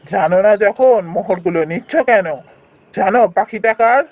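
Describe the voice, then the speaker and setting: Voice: mid-range voice, normal speed, natural voice. A performer playing a stern, commanding royal man in a fairy-tale cartoon, questioning with authority.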